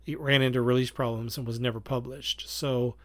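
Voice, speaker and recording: A man co-hosting a podcast in casual, analytical fan discussion, with a measured, reflective way of working through his points. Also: medium pitch, flat delivery, a bit bright, no room sound. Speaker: podcast co-host.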